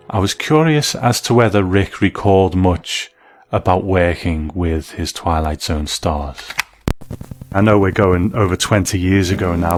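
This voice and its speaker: A man hosting and reviewing in a scripted documentary narration style. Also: sits low. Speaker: host and reviewer.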